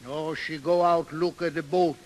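In an echoing 1930s radio play, an actor voices an old Swedish father. His voice is fairly high for a man. He grumbles stubbornly in thick broken English. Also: steady tone, moderate pace, a little mellow, a little hiss or hum, close to an everyday voice. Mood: grudging. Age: older. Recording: old reverberant radio broadcast.

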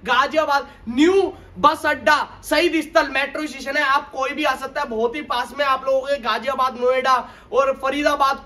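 A young man hosting a shop vlog, cheering the owner on with excited interjections. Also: very high voice, far from the mic. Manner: excitable, constant hype interjections. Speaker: vlogger host. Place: indoor furniture showroom.

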